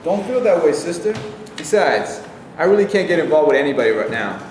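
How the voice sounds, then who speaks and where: A man playing a level-headed, easygoing soldier in an amateur staged play reading, measured and plainspoken. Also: fairly high pitch, big pitch swings, a little mellow. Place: echoey hall, away from mic. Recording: distant, echoey hall, faint noise.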